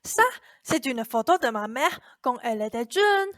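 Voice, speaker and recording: A woman's voice: fairly high pitch, big pitch swings, normal speed, a bit bright, dry recording, studio quality. A woman playing a stubborn, defiant young girl in a radio drama, blunt and insistent in manner. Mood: earnest. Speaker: student actor.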